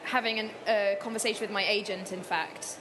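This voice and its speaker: A woman author talks through her answers in an interview, thoughtful and unhurried, often restarting her sentences. Her voice sits at an ordinary middle pitch. A clear steady noise runs behind her throughout. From Cyprus. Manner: thoughtful, with many fillers and restarts. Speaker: author guest.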